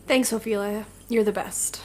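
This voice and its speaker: A woman's voice: mid-range voice, steady tone, normal speed, lightly acted. A woman playing a blunt, jaded young female villain in an audio drama, irritable yet natural in delivery. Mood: concerned.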